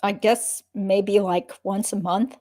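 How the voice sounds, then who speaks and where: A woman playing a guarded, matter-of-fact witness giving measured answers in a dramatic reading of a deposition. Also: medium pitch, fairly even intonation, warm tone. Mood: guarded, terse.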